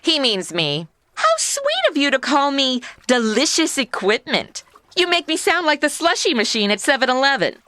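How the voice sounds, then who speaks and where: Casting: self-absorbed, snarky young woman in comic audio drama, bright forceful tone, swooping petulant delivery. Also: high-pitched, huge pitch swings, moderate pace, no echo, exaggerated voice. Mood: sarcastic. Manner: sarcastic, petulant, valley-girl phrasing. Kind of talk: comedy audio drama acting.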